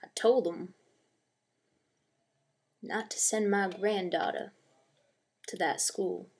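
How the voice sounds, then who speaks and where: A woman acts out a bitter old grandfather in a dramatic monologue. The speech is slow, plainspoken and gruff, with creak in the voice. The temper is stubborn and wounded, grumbling with resignation. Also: mid-range voice, steady tone. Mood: aggrieved.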